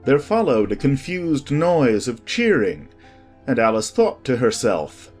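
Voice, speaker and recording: Expressive, husky storybook narrator. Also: mid-range voice, measured pace, soft background music. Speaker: audiobook narrator.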